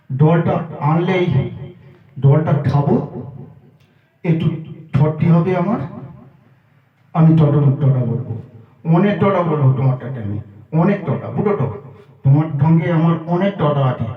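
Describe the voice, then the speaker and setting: A man's voice: a little high, natural voice. A man voicing a chatty, earnest two-year-old boy with lisped baby-talk, repeating himself insistently in a comic anecdote. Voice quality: childish lisped mispronunciations. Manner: repetitive, halting, insistent. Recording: muffled phone-like audio, roomy echo, distant mic.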